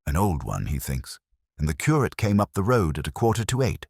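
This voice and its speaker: A man plays a keen male sergeant in a mystery story, deferential and brisk. The voice is lifted above the narrator's deep register and swings about in pitch, with a slightly husky edge. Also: sing-song, darkish tone, no echo, clean studio sound, full character voice. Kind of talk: mystery audiobook character reading.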